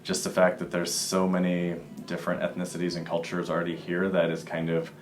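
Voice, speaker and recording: A man's voice: low voice, monotone, fairly quick. A man speaking about his city's welcome for refugees in a conversational, reasoning-aloud way.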